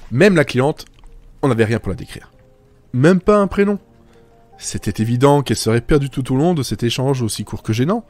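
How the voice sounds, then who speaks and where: Wry male narrator with lively intonation. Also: medium pitch, normal speed, soft background music. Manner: self-deprecating, wry. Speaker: writer and narrator of a humour podcast. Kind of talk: scripted comedic narration.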